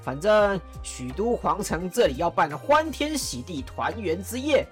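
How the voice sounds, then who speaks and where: A woman's voice: on the low side, sing-song, a little slow, soft background music. A woman playing a jovial, teasing male warlord chancellor in an audio drama, chuckling and mock-stern. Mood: kind.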